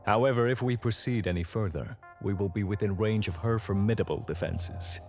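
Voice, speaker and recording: An actor playing a stern, dutiful space fleet admiral in a video game, with formal military diction. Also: male voice, on the low side, fairly quick, darkish tone, faint music behind, lightly acted. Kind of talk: video game cutscene acting.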